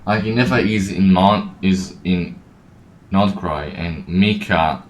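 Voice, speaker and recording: A man's voice: sits low, slow pace, roomy sound. A man giving casual, slangy, exclamatory commentary while playing a game, with some swearing. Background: game music.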